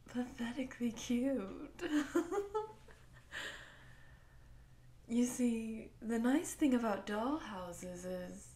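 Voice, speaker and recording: A woman voicing an obsessive, sadistic giant woman doting on a tiny captive, teasing and possessive in an intimate ASMR audio roleplay, often dropping toward a whisper. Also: fairly high pitch. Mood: gleeful taunting.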